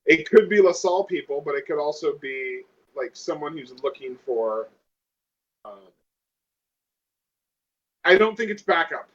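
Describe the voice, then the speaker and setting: A man's voice: a little high, animated, unhurried, pauses often, dull audio. A man talking casually as a player in a tabletop role-playing game.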